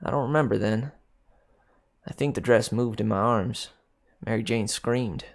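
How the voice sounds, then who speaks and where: A man voicing a troubled, defiant little girl with an American country accent, telling her memory in halting, childlike, insistent speech. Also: fairly low pitch, gravelly. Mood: confused, haunted. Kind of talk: dramatic first-person story reading.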